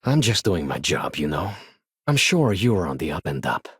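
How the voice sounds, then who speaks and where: A man voicing a wary, fussy luxury goods merchant in a video game, with husky, polite and formal speech. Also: low-pitched, sing-song, normal speed, a bit bright, dry recording, clean studio sound, full character voice. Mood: reluctant, apologetic. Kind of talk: game character dialogue lines.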